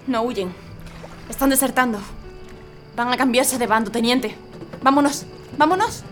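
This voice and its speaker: An actress playing an anxious companion of a lieutenant in a radio drama, speaking urgently and tensely. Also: female voice, a little high, measured pace, soft background music, natural voice. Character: woman at the lieutenant's side, anxious.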